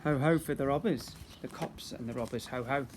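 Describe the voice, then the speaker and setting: A man's voice: medium pitch, brisk, a little hiss or hum. A man reading a rhyming storybook aloud to young children like a teacher, theatrically and with asides to his listeners.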